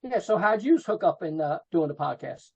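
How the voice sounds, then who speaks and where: A man's voice: a little high, animated, brisk, vocal fry, breathy edge. A middle-aged man reminiscing casually as an interview guest, informal and peppering his talk with "you know". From New Jersey, USA. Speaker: podcast guest, rock photographer. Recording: dull lo-fi call audio.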